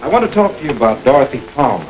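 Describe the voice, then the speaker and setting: An actor playing a firm detective who speaks bluntly and steadily, in a 1940s film. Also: male voice, medium pitch, sing-song, very fast, natural voice, rough recording. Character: firm male detective, adult.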